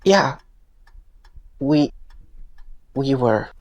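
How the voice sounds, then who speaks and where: A man's voice: mid-range voice, expressive, extremely slow, darkish tone, highs cut off. A man playing a weary, wry security guard in an audio drama, speaking in a dry, sometimes hesitant way. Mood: subdued, hesitant.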